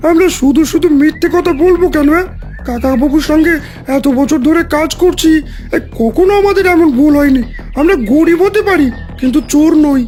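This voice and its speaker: A man's voice: extremely high pitch, a little fast, darkish tone, voice pushed up. A man putting on a pleading poor village woman. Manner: pleading, insistent.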